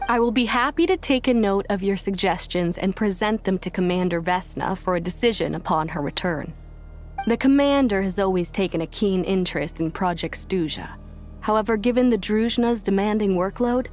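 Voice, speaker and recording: A dutiful female officer in a video game, played by a woman speaks at a medium pitch. Her delivery is formal, courteous and restrained, with soft music behind. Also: moderate pace, natural voice. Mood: polite, dutiful.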